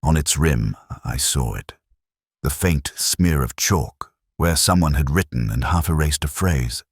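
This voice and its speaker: A man playing a brooding, lonely police inspector who narrates a mystery drama in a measured, literary, reflective way. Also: a bass voice, animated, breathy edge, dry recording, studio quality, exaggerated voice. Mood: hushed, watchful. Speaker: narrator of a detective story. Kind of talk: scripted first-person mystery narration.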